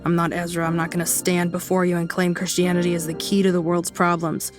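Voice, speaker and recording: A woman's voice: fairly low pitch, completely flat delivery, fairly quick, over music, lightly acted. A woman acting a brash, foul-mouthed believer in an audio drama, blunt and sarcastic. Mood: blunt, exasperated.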